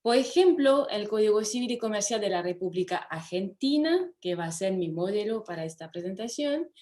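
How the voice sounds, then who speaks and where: French-accented woman, law professor, online lecture, measured with frequent pauses. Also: mid-range voice, expressive, a little mellow. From France. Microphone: computer mic over video call.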